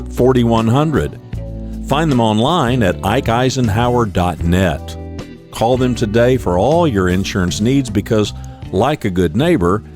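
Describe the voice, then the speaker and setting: Low-voiced man reading sponsor spots over music. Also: darkish tone. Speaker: podcast announcer reading sponsor messages. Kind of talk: scripted ad read.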